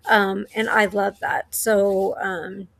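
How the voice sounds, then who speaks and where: A woman's voice: medium pitch, steady tone, takes it slowly, creaky, a bit bright, dry recording. A woman hosting a podcast in a casual, supportive conversational style. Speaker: podcast host.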